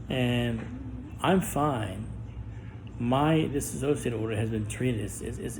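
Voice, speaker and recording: A middle-aged man with a low, gravelly voice speaks a guarded monologue to camera. He talks haltingly, restarting words and pausing often. The recording is noisy, with constant background hiss. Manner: guarded and halting, with stammered restarts and long pauses. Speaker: vlogger.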